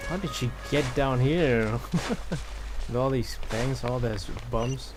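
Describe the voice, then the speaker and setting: Slow-paced man commenting on a game. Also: mid-range voice. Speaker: game streamer. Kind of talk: casual gameplay commentary. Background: game music.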